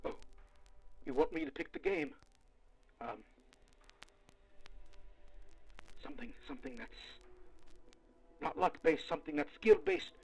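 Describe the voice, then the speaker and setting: A man playing a weary, foul-mouthed field researcher dictating case logs in an audio drama, with a gravelly, theatrical, halting delivery. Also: a little high, huge pitch swings, a little mellow, full character voice, poor audio. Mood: frightened, bargaining. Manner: theatrical, halting, muttering to himself.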